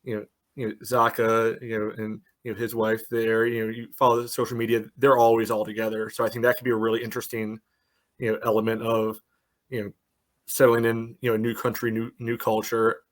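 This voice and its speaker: A man's voice: on the low side, completely flat delivery, brisk. Male sports podcast co-host, conversational analysis, filler-heavy speech, dry sarcastic streak. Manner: says "you know" constantly as filler. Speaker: podcast co-host and soccer fan pundit.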